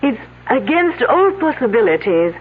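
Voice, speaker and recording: A young French student in an old radio mystery. She speaks formally and a little hesitantly, demure yet proud, in a breathy French-accented voice. The recording is thin and tinny. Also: fairly high pitch, big pitch swings, normal speed. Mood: demure, self-assured. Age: young adult. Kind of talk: 1970s radio drama acting.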